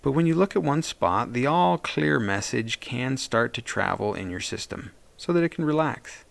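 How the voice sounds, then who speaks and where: A man leading a guided meditation as a therapist, speaking in a gentle, soothing and reassuring way. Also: mid-range voice, animated, moderate pace, vocal fry.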